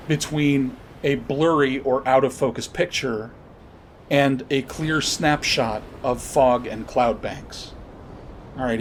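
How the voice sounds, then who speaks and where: A man hosting a podcast, giving unscripted political commentary in a blunt, profane, halting way with pauses and repeated words. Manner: halting, searching delivery with pauses and repetitions. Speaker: podcast host. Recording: noisy, with low rumble behind. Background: noise and rumble.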